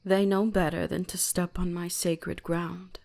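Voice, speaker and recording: A woman plays an ancient kitsune shrine maiden in an intimate ASMR roleplay. She speaks very slowly and breathily, often slipping into whispers, with a creaky edge. Her manner is soothing, possessive and gently commanding. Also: fairly low pitch, little pitch movement. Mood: protective, stern.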